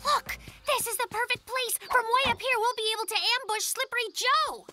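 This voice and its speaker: A woman voicing an excitable young bear cub in a children's cartoon, with a melodramatic, overblown delivery. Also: very high voice, a little fast. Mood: grandly dramatic. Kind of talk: children's cartoon dub acting. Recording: music behind the voice.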